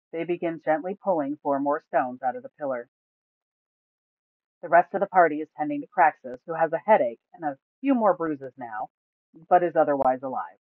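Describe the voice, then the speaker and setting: A woman's voice: deep voice, no echo. A woman reading scripted fantasy story narration from a tabletop campaign. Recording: thin, phone-like, dry sound.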